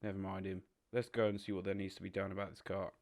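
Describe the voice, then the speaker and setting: A man playing a practical, exasperated water rat in an audio drama, brisk and matter-of-fact. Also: sits low, level tone, a little mellow. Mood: resigned. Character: practical, exasperated male water rat, bossy old friend.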